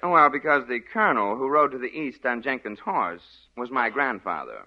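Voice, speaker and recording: An actor playing a deadpan older man telling a family tale, in a 1940s radio comedy. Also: medium pitch, moderate pace. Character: dry older male storyteller with a grandfather tale. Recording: dull old radio recording, slightly distant.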